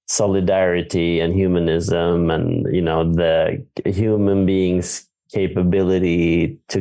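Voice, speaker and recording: Man, film director, low voice, gravelly fry, halting, fillers, on stage in a theater. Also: mellow. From Sweden. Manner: thoughtful, halting, many fillers and restarts. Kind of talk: Q&A conversation on stage.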